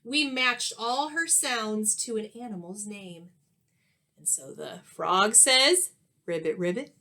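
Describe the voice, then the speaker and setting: A woman reading a children's storybook aloud like a teacher, expressive and sing-song. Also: a little high. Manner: expressive, sing-song, pausing to ask listeners questions.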